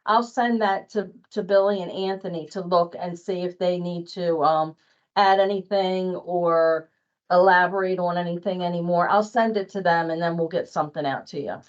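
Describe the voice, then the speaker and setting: A woman's voice: on the low side, steady tone, moderate pace. A woman leading a work meeting in an informal, conversational way. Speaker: meeting leader. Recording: dull online meeting audio.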